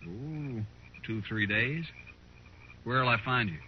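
An actor playing a shifty, boastful frontier gunrunner in a 1950s radio western, with folksy, cocky phrasing. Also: male voice, medium pitch, slow pace, slight background noise, lightly acted. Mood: wary. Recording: muffled 1950s radio recording.

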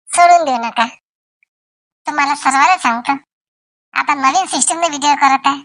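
A woman voicing an excitable, fussing rural auntie in a homemade comedy cartoon, exclaiming and repeating herself in Varhadi Marathi. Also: high voice, no room sound. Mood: friendly address. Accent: Varhadi Marathi from Vidarbha. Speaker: cartoon channel's main character and presenter.

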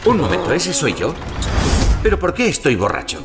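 An actor playing a drunken, indignant friar fond of wine, in a blustering comic manner for an audio drama. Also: male voice, a little high, huge pitch swings, normal speed, loud music behind the voice. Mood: wry, puzzled. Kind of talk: Spanish audio drama acting.

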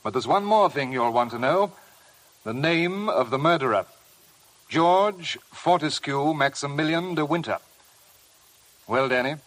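A man's voice: a little high, lively intonation, unhurried, long pauses, fairly bright tone, rough recording. An actor in a 1950s radio drama playing a smug, sly male blackmailer with a taunting, insistent manner. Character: sly, mocking male blackmailer, smugly needling.

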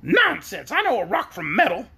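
A reader voicing a brisk, cheeky female yellow hen in a storybook, self-assured and fond of arguing. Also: mid-range voice, wildly swooping pitch, vocal fry, a little hiss or hum, heavily acted. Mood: excited, insistent.

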